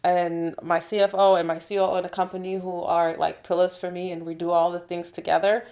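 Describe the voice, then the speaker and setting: A woman in her thirties speaking English with a German accent, her voice sitting a little low. She talks candidly and at length, dropping in "like" and "you know what I mean" as she goes. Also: level tone, a little fast, a little mellow. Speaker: guest, trader and entrepreneur. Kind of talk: casual podcast interview.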